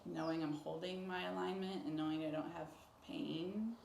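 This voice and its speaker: A woman's voice: low voice, fairly even intonation, normal speed, a little mellow. A woman talking casually as a patient, a little hesitant, often giving short agreeing replies. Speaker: physical therapy patient. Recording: echoey room, clear background noise.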